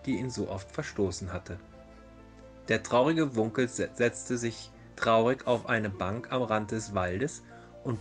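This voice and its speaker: A man narrating a fairy tale as a storyteller in a dramatized reading. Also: mid-range voice. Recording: music behind the voice. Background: background music.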